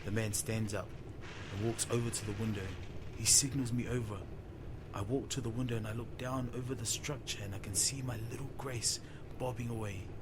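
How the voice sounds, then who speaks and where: A man's voice: lowish voice, fairly even intonation, fairly quick. A man narrating an audio story in the first person with a theatrical, dramatic manner. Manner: theatrical, present-tense storytelling. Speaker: storyteller, voice performer. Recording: strong background noise.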